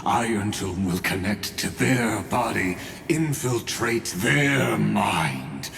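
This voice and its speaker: A very slow, deep godlike villain. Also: male voice, animated, creaky, background noise, heavily acted. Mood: grandiose menace. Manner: slow, solemn, deliberate, menacing. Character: cold godlike machine-minded villain, grandiose and contemptuous. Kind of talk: dramatic scripted video game acting. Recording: echoing voice over background music.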